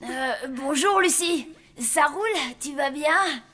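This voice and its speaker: A woman's voice: high-pitched, animated, slow, bright, forceful tone, exaggerated voice. A woman voicing an awkward, earnest zombie schoolboy in a children's cartoon. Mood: sheepish, nervous. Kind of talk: French children's cartoon acting.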